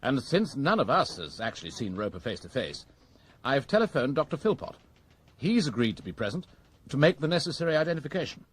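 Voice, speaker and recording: An actor playing a resolute, brisk police inspector in a radio crime drama. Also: male voice, medium pitch, very animated, breathy edge. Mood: businesslike. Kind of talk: BBC radio drama acting.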